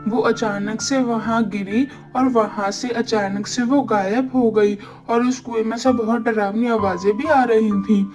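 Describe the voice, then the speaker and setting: A woman plays a frightened young boy from a village in a homemade cartoon story. The voice sits a little high, and the child speaks politely and anxiously to an elder. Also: steady tone, measured pace, hardly any pauses, music in the background, lightly acted. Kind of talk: amateur cartoon story dialogue.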